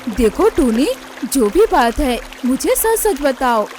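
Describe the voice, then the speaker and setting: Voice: high-pitched, big pitch swings, slow pace, noisy background, full character voice. A performer voicing a righteous young male bird, a stern and reproachful husband in a cartoon.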